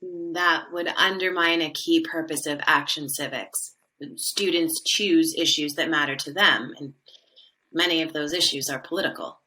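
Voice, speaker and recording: Composed, low-voiced school leader. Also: female voice, steady tone, moderate pace, bright, forceful tone, rough recording. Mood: composed, principled. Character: composed, principled female department leader defending her program. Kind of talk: scripted audio drama.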